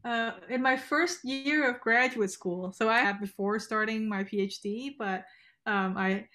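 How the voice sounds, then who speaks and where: A woman's voice: medium pitch, a bit bright. Woman chatting on a video call. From Hong Kong and Canada. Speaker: music researcher and professor. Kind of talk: informal panel chat. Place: home room with bookshelves.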